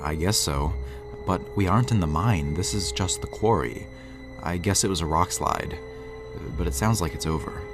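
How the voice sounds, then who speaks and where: A man's voice: low voice, moderate pace, close to an everyday voice. A man playing a stubborn, evasive character in an audio drama, with a hesitant, defensive manner. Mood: calm, unsure. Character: stubborn, evasive man obsessed with his projects. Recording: music behind the voice.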